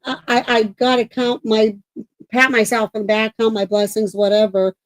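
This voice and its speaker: A middle-aged woman co-hosting a paranormal talk show, chatting casually and loudly with a projecting voice, rambling with many restarts and self-corrections. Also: a little high, little pitch movement, moderate pace, no echo. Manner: self-described talker, loud and projecting. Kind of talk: casual livestream chat.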